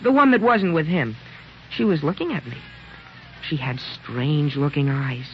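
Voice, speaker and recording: A man's voice: a little high, moderate pace, slight background noise, close to an everyday voice. An actor playing a lovesick, boastful sixteen-year-old American boy in an old-time radio drama, earnest and theatrical, with hesitant exclamations. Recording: dull lo-fi old radio broadcast.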